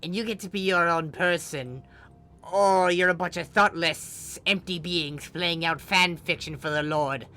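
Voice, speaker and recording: A woman voicing a large, laid-back female snake in a story, soft and casually wry as she explains things. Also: lowish voice, a little slow. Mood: frank explaining.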